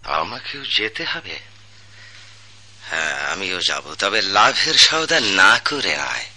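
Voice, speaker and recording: Raspy, scheming rival disciple. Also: male voice, sits low, very animated, slow pace, bright, forceful tone, heavily acted. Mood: sly, brooding. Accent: Bangladeshi. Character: scheming, jealous male music disciple, curt and hostile. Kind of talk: radio drama acting.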